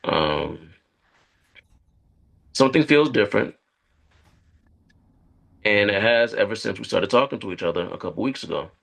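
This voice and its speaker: An actor reading a script as an anxious, lonely, career-driven man who confides haltingly to a therapist. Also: on the low side, expressive, vocal fry, a bit bright, full character voice. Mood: anxious, confiding. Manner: hesitant, halting, confessional. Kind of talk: dramatic script read.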